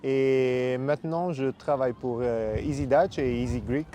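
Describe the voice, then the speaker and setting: A man in his thirties from Italy, interviewed casually, speaking calmly. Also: medium pitch, unhurried. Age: 30s. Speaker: guest.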